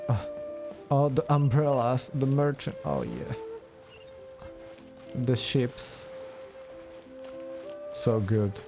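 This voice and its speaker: A man's voice: mid-range voice, sing-song, extremely slow, pauses often. A man doing casual let's play commentary as host of a game playthrough. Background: game music.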